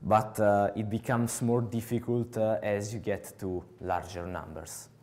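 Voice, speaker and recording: A young man with an Italian accent giving a technical talk at a conference, pausing often with filler sounds, on a headset mic in a large hall. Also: fairly low pitch, fairly even intonation, a little mellow, slight background noise. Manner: halting, many filler uhs. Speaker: conference speaker.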